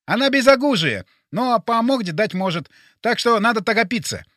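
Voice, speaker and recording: A man voicing a bossy, excitable, self-important male sidekick with a speech impediment on his R sounds, speaking emphatically. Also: extremely high pitch, moderate pace, no echo, clean studio sound, pitched above the performer's own voice, full character voice. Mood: brisk explaining. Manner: emphatic, insistent.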